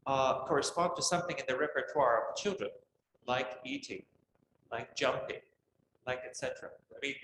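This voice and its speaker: A man in an unscripted academic discussion, thinking aloud in a halting way as a conference participant. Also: medium pitch. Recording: roomy, clearly noisy live hall recording.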